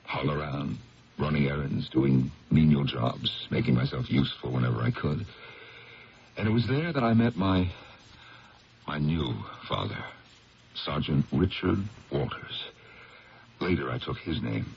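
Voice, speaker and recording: A burdened, ashamed lawyer in an old radio drama speaks in a very deep voice that swings expressively. He hesitates and gropes for words as he confesses, creaky at the edges, over a muffled, faintly hissy broadcast. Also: exaggerated voice. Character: troubled married lawyer, ashamed, burdened by a secret. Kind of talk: 1970s radio drama acting.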